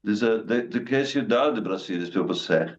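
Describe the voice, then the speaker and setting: A man from Argentina, whose first language is Spanish, answers interview questions in English with short, agreeable replies. His voice sits low, and the sound is slightly dull and lo-fi. Faint music plays underneath. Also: animated, moderate pace. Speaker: actor guest.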